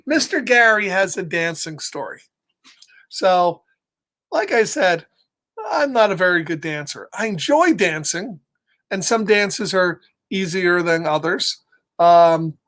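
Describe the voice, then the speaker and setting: A middle-aged man reading aloud and telling casual stories to children in an encouraging, expressive, chatty way. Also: sits high, slow pace. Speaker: storytime reader for children. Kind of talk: animated read-aloud and casual personal storytelling.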